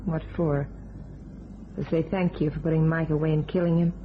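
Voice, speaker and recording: A plain, guarded widow who waits tables played by a woman in an old-style crime radio play. She talks in blunt, clipped phrases with a tired wariness. The sound is dull and clearly noisy. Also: deep voice, flat delivery, moderate pace, lightly acted. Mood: bitter, defiant. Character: Helen, plain weary guarded widowed diner waitress.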